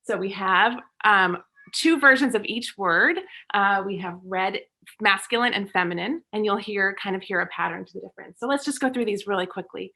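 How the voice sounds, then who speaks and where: A woman raised in the United States leading a children's story time lesson, talking slowly and cheerfully for young listeners. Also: mid-range voice, sharp tone. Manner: upbeat, slow and clear teaching for young kids.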